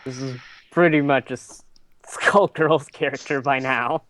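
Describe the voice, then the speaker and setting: A man playing a tabletop roleplaying game, talking casually in an easygoing, halting way. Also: mid-range voice, steady tone, fairly bright tone, slight background noise.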